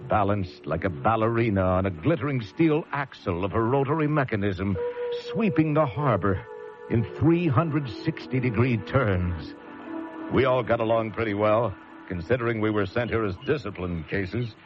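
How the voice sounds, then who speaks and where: An actor playing a wry, world-weary American lighthouse keeper, narrating a horror tale with deliberate, dramatic delivery. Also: male voice, medium pitch, close to an everyday voice. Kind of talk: first-person radio drama narration. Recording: muffled radio drama recording with music.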